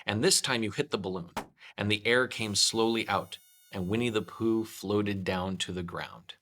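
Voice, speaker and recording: A man's voice: on the low side, fairly bright tone. A man reading a children's story aloud as a scripted narrator.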